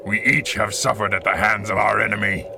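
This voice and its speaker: A grave supervillain leader speaks in a low voice with heavy creak. He is commanding and theatrical, pronouncing each phrase deliberately, like a 1930s radio serial villain, over a clear music bed. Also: fairly even intonation. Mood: ceremonial. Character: grave, commanding male supervillain mastermind leading a secret cabal.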